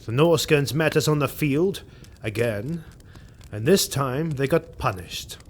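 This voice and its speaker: An actor playing a grim, vengeful Imperial officer in a fantasy audio drama, speaking solemnly and formally with deliberate emphasis. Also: male voice, medium pitch, expressive, a little hiss or hum, natural voice. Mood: grave. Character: grim, vengeful male Imperial army officer recounting war.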